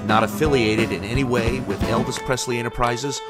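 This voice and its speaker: Male announcer over loud guitar music. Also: medium pitch, steady tone, fairly quick. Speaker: show announcer. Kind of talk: scripted credits and disclaimer announcement.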